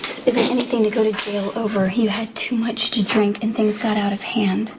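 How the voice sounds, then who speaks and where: A woman's voice: mid-range voice, little pitch movement, normal speed, heavy background noise, lightly acted. An actress playing an earnest young woman who pleads for a troubled man in a soap drama. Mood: earnest, hushed. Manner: earnest, imploring. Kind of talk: television soap drama acting. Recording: prominent music score under dialogue.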